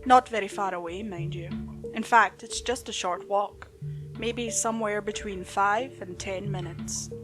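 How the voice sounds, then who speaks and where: A woman's voice: medium pitch, measured pace. A woman reading a scripted creepypasta story as host and narrator. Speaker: host and narrator of horror stories. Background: music.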